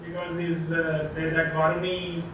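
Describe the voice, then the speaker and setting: A man, a student asking questions in a halting, tentative way. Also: fairly high pitch, completely flat delivery, fairly bright tone. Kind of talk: hesitant question and answer. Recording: echoey room, distant mic, background noise.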